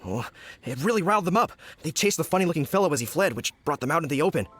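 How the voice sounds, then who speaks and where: A man playing a flustered, indignant village trader in a video game, complaining in an agitated, hurried way. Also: a little high, expressive, a bit bright, faint music behind. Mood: indignant, upset.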